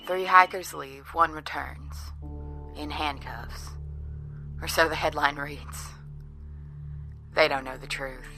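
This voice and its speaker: A woman acts out a haunted, accused hiker in a horror story. The voice is low and tense, with slow, halting phrases that sink toward whispers over faint music. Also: fairly even intonation, full character voice. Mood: haunted, defensive. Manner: hushed, halting, breaking into whispers. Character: haunted, accused hiker survivor telling their tale. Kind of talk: dramatic horror fiction monologue.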